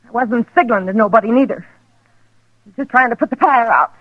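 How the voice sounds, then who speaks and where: A woman playing a defiant, desperate outlaw's woman in a radio western, sharp-tongued with rough rural speech. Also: fairly high pitch, brisk, no room sound, lightly acted. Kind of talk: 1950s radio western acting. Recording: muffled, dry old radio recording.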